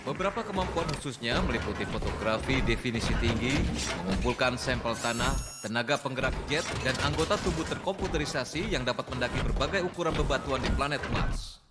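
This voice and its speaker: Seorang pria menyulihsuarakan ilmuwan paruh baya yang bangga dalam film kartun. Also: male voice, mid-range voice, lively intonation, a little fast, a loud crowd, heavy background noise, close to an everyday voice. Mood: proud. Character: proud middle-aged male scientist presenting his invention. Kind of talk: cartoon dub.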